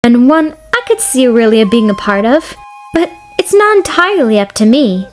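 A high, swooping young noblewoman acted by a woman, vivacious and hungry for gossip. She gushes playfully, like a fantasy game character, over background music. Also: lively intonation, moderate pace, lightly acted. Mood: gracious, playful. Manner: gushing, playful, theatrical. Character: vivacious, gossip-loving young noblewoman ruling a wealthy city. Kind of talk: fan dub voice acting of a fantasy story game. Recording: music behind the voice.

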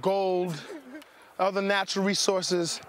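A man, a football player, talking in an upbeat, relaxed way in an outdoor interview. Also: high voice, slow pace.

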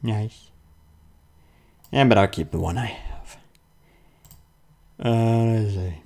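A young man playing a video game and commenting on it, muttering slowly and then yelping loudly in fights, with faint game music behind. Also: lowish voice, vocal fry. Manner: slow, mumbling asides that jump to loud yelps in fights. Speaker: gaming video host. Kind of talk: let's play commentary. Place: indoor room with webcam.

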